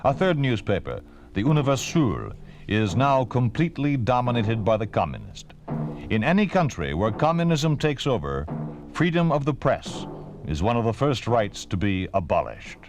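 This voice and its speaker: A man's voice: mid-range voice, very animated, moderate pace. An American man reading a formal, solemn script as a 1950s radio announcer. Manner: solemn, declarative. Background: music.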